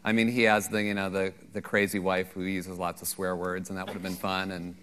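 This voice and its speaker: Fast-talking wry man on a panel. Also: deep voice, little pitch movement, fairly bright tone. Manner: wry, joking. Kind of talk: panel discussion on stage. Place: festival stage.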